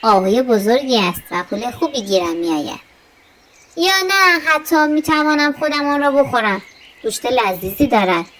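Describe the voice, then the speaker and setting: An opportunistic hunter from a children's storybook as a woman acts him out. His voice is very high for a man and expressive, lifted above the narrator's own. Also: a little hiss or hum, poor audio. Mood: pleased, greedy. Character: opportunistic male hunter, timid when threatened.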